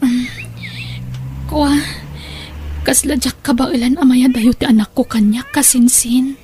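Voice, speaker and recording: A woman's voice: high-pitched, measured pace, mellow, a little hiss or hum. A woman with an Ilocano accent playing a poor, loving, hesitant mother in an audio drama.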